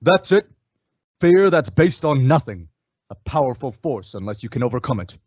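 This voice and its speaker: A man's voice: medium pitch, big pitch swings, moderate pace, darkish tone, dry recording. Man acting resolute western radio hero, muffled recording. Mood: calm, resolute.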